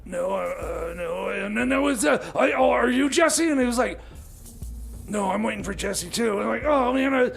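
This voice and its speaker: A man's voice: very high-pitched, animated, normal speed, soft background music, heavily acted. A man playing a flustered, scatterbrained time machine mechanic in radio comedy, stammering, rambling and trailing off. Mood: rambling, evasive. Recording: clear background noise.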